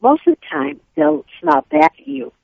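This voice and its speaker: A woman's voice: high voice, fairly even intonation, creaky, no echo. An older woman, a Yiddish-speaking author, chatting upbeat and rambling as an interview guest. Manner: upbeat, rambling, quick tangents. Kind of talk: casual interview chat. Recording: tinny phone line.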